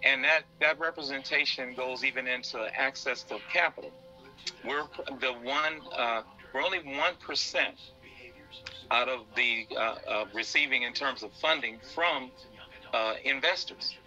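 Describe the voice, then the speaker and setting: A man speaking as an interview guest, earnestly explaining in a halting way and repeating words as he goes. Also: mid-range voice, bright, forceful tone, dull audio, soft background music. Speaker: interview guest, business founder.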